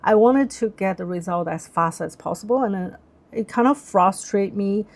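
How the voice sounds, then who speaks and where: A young woman whose first language is Chinese chats through a live stream in English. Her pitch sits in a middle range. She talks thoughtfully and keeps pausing and rephrasing mid-sentence as she works out her ideas. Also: darkish tone. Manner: thoughtful, searching for words, frequent restarts. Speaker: livestream host. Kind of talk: casual live-stream chat.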